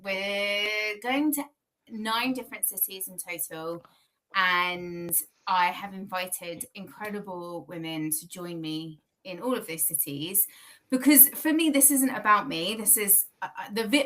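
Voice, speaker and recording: Woman guest, bright clear voice, chatty and quick to laugh, heard over a video call. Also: on the low side. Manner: lively, laughs often, self-correcting. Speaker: guest, founder of a communications agency. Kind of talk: casual podcast conversation.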